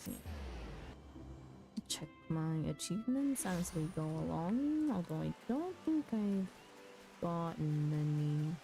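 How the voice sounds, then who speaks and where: Woman streamer with a mellow, lowish voice. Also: sing-song, creaky. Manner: chatty, halting, muttering asides to self. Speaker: streamer, host of a live game stream. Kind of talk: casual live game-stream commentary, reading dialogue aloud. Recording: music behind the voice. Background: background music.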